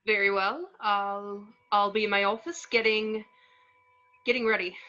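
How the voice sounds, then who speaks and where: A woman playing a vain, cowardly male wizard professor in a script read, pompous, halting and blustering. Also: medium pitch, little pitch movement, sharp tone, muffled recording. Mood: flustered. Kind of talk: amateur table read of a film script.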